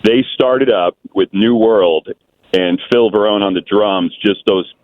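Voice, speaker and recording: A male caller comes through a tinny phone line, his voice sitting somewhat low. He talks with real enthusiasm about a live show he once saw. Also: a little slow, no room sound. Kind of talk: call-in chat.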